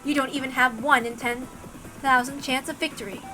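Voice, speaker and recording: A woman's voice: sits high, full character voice. A woman voicing a villainous, condescending witch in a dramatic story reading, haughty and mock-polite with cackling laughter. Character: gloating villainous female witch Vergilion, mocking and condescending.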